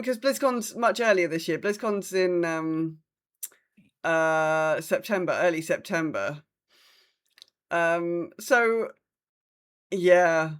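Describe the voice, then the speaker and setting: British woman chatting as podcast co-host, medium pitch. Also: a bit bright. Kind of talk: casual gaming podcast chat.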